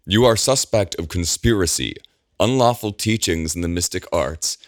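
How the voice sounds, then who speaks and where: A man's voice: low-pitched, moderate pace, no echo, clean studio sound, voice pushed up, natural voice. A man voicing a commanding male lord regent who growls his orders. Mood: imperious. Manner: growling, imperious.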